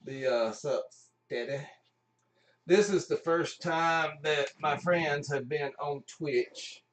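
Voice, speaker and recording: A man's voice: fairly high pitch, slow. A man hosting a livestream in an informal, jokey, chatty way. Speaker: livestream host. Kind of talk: casual chat. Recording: echoey room, distant mic.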